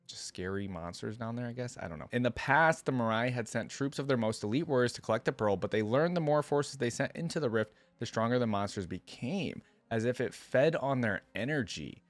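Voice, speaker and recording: A man's voice: lowish voice, no room sound. A man hosting a reaction video, switching between casual, think-aloud commentary and reading text aloud.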